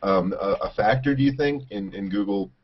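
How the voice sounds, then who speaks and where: A man's voice: fairly low pitch, a little fast, lo-fi sound, slight background noise. A man hosting a video call interview, asking casual questions with many fillers. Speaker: host.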